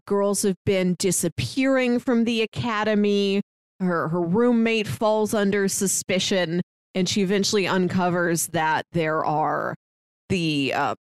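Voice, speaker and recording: A woman's voice: fairly low pitch, no echo. A woman co-hosting a podcast, explaining things in casual, conversational talk. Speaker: podcast co-host. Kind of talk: casual film discussion.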